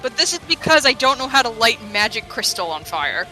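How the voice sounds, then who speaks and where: A woman's voice: fairly high pitch, very animated, fairly quick, pushed and bright, soft background music, poor audio. A woman playing a tabletop game, chatting casually with the other players.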